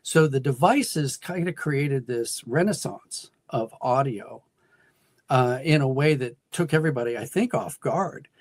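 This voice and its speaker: A middle-aged American man giving thoughtful, explanatory answers as a guest in an interview, pausing and restarting as he goes. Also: mid-range voice, no echo, studio quality. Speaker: guest, audio drama writer and producer.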